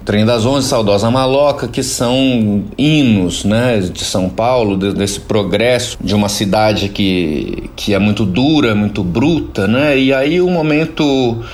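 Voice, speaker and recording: A man's voice: fairly low pitch, lively intonation, a little slow, hardly any pauses. A man with a Brazilian accent giving reflective, explanatory cultural commentary as a radio columnist, often checking in with little tag questions.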